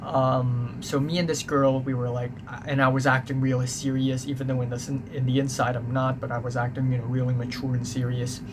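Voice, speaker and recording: A man's voice: medium pitch, little pitch movement, fairly quick, few pauses. A young man from Hong Kong with a Hong Kong English accent, a native Cantonese speaker, telling a casual, rambling story that he keeps correcting and that he spices with swearing. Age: young adult. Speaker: vlogger. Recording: noticeable background noise.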